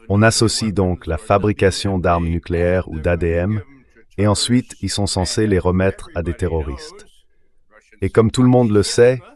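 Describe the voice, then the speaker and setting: A man speaking as a guest commentator, talking in a measured, deliberate way. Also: low voice, a little mellow. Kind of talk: dubbed interview commentary.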